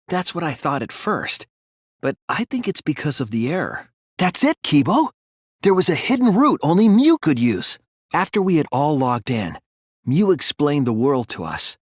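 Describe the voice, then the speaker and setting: A man's voice: mid-range voice, husky, no echo, clean studio sound. A man voicing an earnest, analytical teenage boy detective in an anime mystery game, explaining carefully and with conviction. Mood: urgent, resolute. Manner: measured, careful explaining with emphatic bursts. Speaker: video game voice actor. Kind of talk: English-dubbed anime mystery game dialogue, deductive argument.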